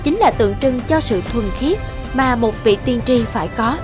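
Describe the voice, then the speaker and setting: A woman's voice: on the high side, animated, moderate pace. A woman narrator reading a scripted fairy tale as a storyteller. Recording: music behind the voice.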